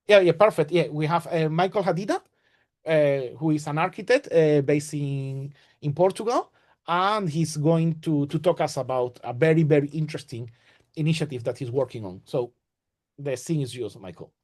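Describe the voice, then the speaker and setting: A man's voice: on the high side, moderate pace, darkish tone. A man hosting an online session over a video call, talking casually with many fillers and restarts.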